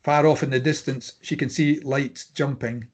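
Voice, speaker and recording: A man's voice: mid-range voice, steady tone, normal speed, darkish tone. A man narrating a screenplay, reading scene headings and stage directions at a table read.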